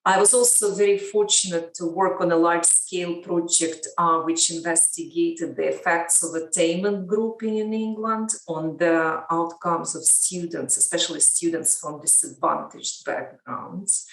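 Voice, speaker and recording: A woman from Ukraine, a lecturer, giving measured, thoughtful interview answers. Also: fairly low pitch. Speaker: guest, education lecturer. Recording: roomy, distant mic.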